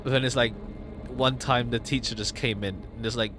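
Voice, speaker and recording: A man livestreamer chatting casually with viewers in a hesitant, halting way. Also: mid-range voice, steady tone. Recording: jazzy game music behind. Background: jazzy video game background music.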